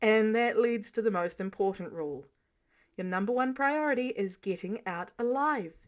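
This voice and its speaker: A woman's voice: mid-range voice, expressive, normal speed, close to an everyday voice. A woman voicing a stern, protective man who lays down rules in a sarcastic, bossy way for a story reading. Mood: firm, commanding. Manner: sarcastic, bossy, clipped commands. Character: stern, exasperated, protective adult man, mentor laying down rules.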